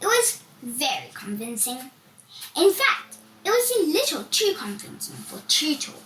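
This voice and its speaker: High, animated woman reading a children's story. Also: unhurried. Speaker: story reader. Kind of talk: scripted children's storybook narration. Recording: roomy, distant mic, faint noise.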